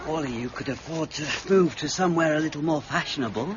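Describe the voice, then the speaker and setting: An actor playing a courteous, reserved gentleman in an audio drama, speaking politely and mildly. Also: a little high, quick pace, noisy background, lightly acted. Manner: polite, mild. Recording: dull, muffled audio.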